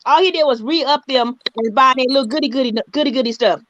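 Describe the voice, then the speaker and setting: High quick woman, blunt gossip, repeats herself, slightly dull call audio. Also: fairly bright tone, dry recording. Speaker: co-host on a call-in video chat. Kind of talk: casual gossip chat. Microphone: video call.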